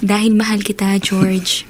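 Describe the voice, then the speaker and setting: A Filipino woman acting in a radio drama as a loving, patient girlfriend who speaks gently and affectionately. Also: medium pitch, slight background noise.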